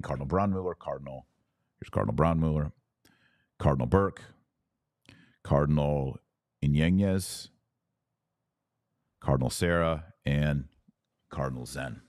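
A man with a deep voice hosts a religious commentary podcast. He talks informally and with emphasis, explaining points and often checking that the listener follows. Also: a little mellow, no echo, clean studio sound. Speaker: podcast host.

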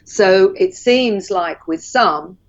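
A woman guest in an interview who explains at length in a measured way, with frequent ums. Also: medium pitch, lo-fi sound.

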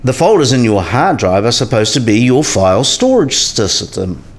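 A man acting a relaxed, know-it-all professor in a radio play, explaining things with dry, teasing wit. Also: medium pitch, normal speed.

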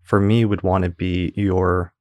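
A man's voice: low-pitched, level tone, a little slow, a little mellow, no echo. A man hosting a podcast interview, friendly and curious, with frequent fillers as he asks questions. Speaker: podcast host. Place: in person, same room as guest.

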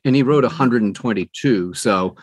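A man's voice: mid-range voice, normal speed, no echo. Older man telling stories, very dry recording. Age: middle-aged or older. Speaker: interview guest, audiobook narrator. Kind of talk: casual conversational storytelling.

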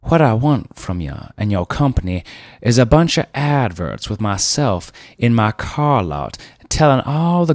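A man voicing a boorish, overbearing heavyset car dealer who talks loudly and bossily in a drawl. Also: fairly low pitch, no room sound, clean studio sound. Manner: loud, bossy, drawling.

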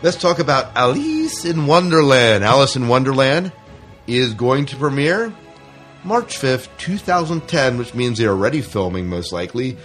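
A man's voice: mid-range voice, sing-song, moderate pace, fairly bright tone, faint music behind. A man co-hosting a podcast, talking casually through entertainment news in a chatty, opinionated way. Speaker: podcast co-host.

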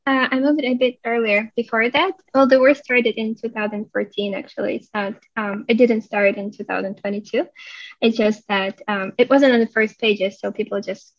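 A woman from Ukraine with a Ukrainian accent, a guest in a casual interview, speaking thoughtfully with many filler pauses over a video call. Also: on the high side, fairly even intonation, dry recording, muffled recording. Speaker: guest, head of content.

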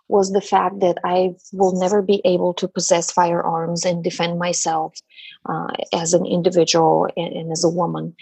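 A woman with a Bulgarian accent speaks with a slightly husky, breathy voice. She chats as a guest, with frequent fillers and laughs. The sound is dry and close. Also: lowish voice, no echo. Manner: chatty, laughs often, hesitant fillers. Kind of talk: conversational interview.

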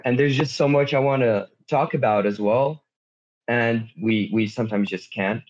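Guest, adult man, mid pitch, easygoing, muffled lo-fi remote audio. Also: moderate pace. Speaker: guest from a game company. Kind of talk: casual chat.

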